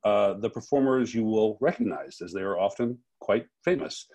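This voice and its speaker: A man hosting an unscripted interview, speaking haltingly and rephrasing his questions as he goes. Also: on the low side, darkish tone. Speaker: interviewer and festival host.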